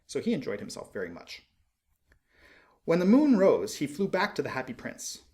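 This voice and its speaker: A man reading a storybook aloud as scripted narration, in an expressive, measured storytelling manner. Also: a little high. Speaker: audiobook reader.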